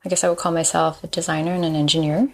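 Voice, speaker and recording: A woman in her thirties from Malaysia speaks as a podcast guest, her voice sitting a little low with a gravelly, fry-tinged edge. She talks things through thoughtfully, pausing often to find her words. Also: flat delivery. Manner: thoughtful, pauses with frequent ums. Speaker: guest, designer and engineer. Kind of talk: casual interview chat.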